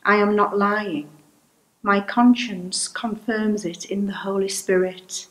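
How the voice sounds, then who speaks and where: An older woman reads a Bible passage for a church service, taking each phrase slowly and carefully. Her voice sits at a middle pitch and comes through a home webcam. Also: fairly even intonation, fairly bright tone, low-quality recording. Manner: slow, measured, careful reading. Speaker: lay reader. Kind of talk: reading scripture at a service.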